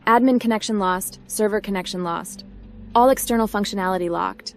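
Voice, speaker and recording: A woman's voice: mid-range voice, a little fast, soft background music, close to an everyday voice. A woman voicing a plucky young female hacker and adventurer, the protagonist of a video game roleplay. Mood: focused, self-talk.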